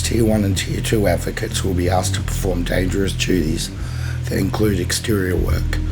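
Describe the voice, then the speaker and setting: A hoarse man who has lost his voice, commenting on a video game and reading its text aloud. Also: noisy background. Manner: low, flat, gravelly mutter. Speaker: let's play gamer. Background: game music.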